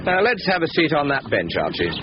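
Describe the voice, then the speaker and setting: An actor playing a wily, coolly persuasive crook with a curt, dry, commanding manner in a 1970s British radio comedy thriller. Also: male voice, a little high, sing-song, fairly quick. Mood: impatient. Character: bossy, wily small-time crook, male, coolly persuasive. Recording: muffled, noisy old radio broadcast.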